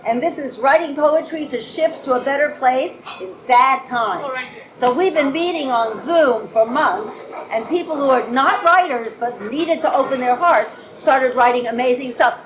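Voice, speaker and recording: A woman from California reading her poetry live as a guest, with a brisk, chatty manner between lyrical lines. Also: sits high, a little hiss or hum, low-quality recording. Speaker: guest poet. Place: bar venue, live audience.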